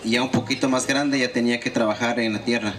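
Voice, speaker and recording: Guy interpreting for a speaker, fluent and steady, bit of background noise. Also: mid-range voice, fast talker. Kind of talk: consecutive interpreting. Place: outdoor gathering.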